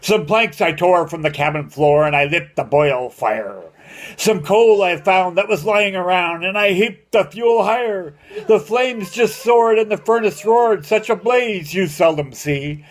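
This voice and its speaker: High-pitched older man reciting a poem. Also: unhurried. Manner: rhythmic, theatrical storytelling delivery. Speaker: grandfather reading a poem aloud to family. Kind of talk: dramatic amateur poem recitation.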